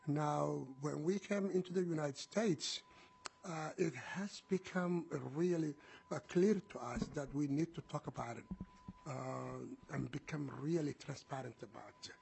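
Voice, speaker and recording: A middle-aged man with a Somali accent giving public testimony in deliberate, hesitant phrases. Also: fairly high pitch, gravelly, a little mellow, slightly rough. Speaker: program manager giving testimony. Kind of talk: public testimony, spoken semi-freely.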